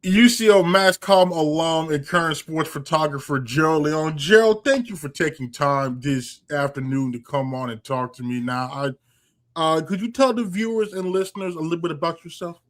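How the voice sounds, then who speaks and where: A man's voice: on the high side, moderate pace. A young man hosting a podcast, chatting casually and rambling through his memories with many restarts. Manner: rambling, lots of fillers and restarts. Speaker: podcast host. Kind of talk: casual interview chat.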